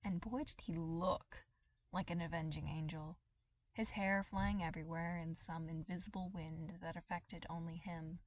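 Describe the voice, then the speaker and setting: A woman reading a story aloud as scripted, expressive dramatic narration. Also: on the low side, normal speed. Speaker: podfic reader.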